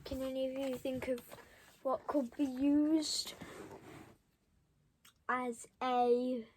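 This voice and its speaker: A young child reading a storybook aloud, stopping for halting, chatty asides to the listeners. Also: high-pitched, little pitch movement, a little hiss or hum, rough recording. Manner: halting, commenting on pictures to listeners. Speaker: storybook reader.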